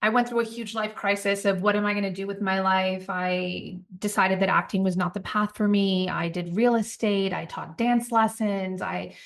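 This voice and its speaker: A woman's voice: medium pitch, normal speed, creaky, hardly any pauses. A woman in her forties from Puerto Rico, a company founder telling her career story as a podcast guest in a reflective, storytelling manner. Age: 40s. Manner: reflective, storytelling, animated asides. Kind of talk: podcast interview.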